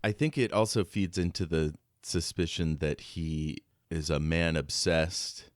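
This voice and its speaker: A man with a low voice talks slowly in a casual book discussion. He is a podcast co-host who muses over his notes and poses questions, and the sound is very dry and close. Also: fairly even intonation, no room sound.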